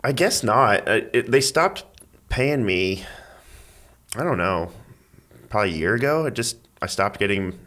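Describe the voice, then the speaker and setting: A man chatting casually as a podcast guest, in a halting, rambling way as he thinks aloud. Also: lowish voice, expressive, a bit bright. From Missouri, United States. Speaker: podcast guest, amateur athlete and school IT worker. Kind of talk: casual interview chat.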